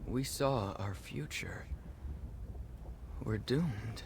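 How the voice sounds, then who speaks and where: An actor playing an anxious, fatalistic captive with dangerous powers in a video game, earnest and emotional. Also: male voice, lowish voice, lively intonation, very slow, creaky, a little hiss or hum, full character voice. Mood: gloomy. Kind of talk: video game dramatic acting.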